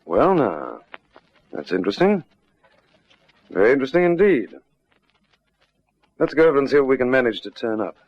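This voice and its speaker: An actor playing a calm, commanding Australian detective sergeant in a classic radio detective drama. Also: male voice, mid-range voice, moderate pace, long pauses, no echo. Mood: measured, intrigued. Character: calm, methodical, authoritative male police detective sergeant. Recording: muffled vintage radio drama recording.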